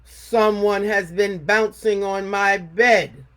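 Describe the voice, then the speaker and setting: Woman acting out storybook father bear, big, grouchy, growling, stern, scolding, loud. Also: medium pitch, steady tone. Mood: gruff, scolding. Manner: growling, stern, drawn-out words. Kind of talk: storybook read-aloud character.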